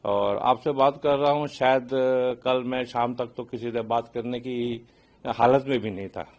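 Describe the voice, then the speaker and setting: Older indian guy, aviation expert type, explains stuff carefully and haltingly, medium pitch, drops in english bits. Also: steady tone, a little mellow. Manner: measured, halting, explanatory, mixes in English phrases. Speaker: aviation consultant and expert commentator. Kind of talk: spoken expert reaction in a news interview.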